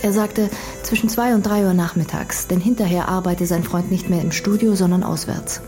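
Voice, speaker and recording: An actress playing a composed young undercover decoy in a radio crime drama, reporting soberly and precisely. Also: female voice, fairly low pitch, steady tone, normal speed, warm tone, soft background music, lightly acted.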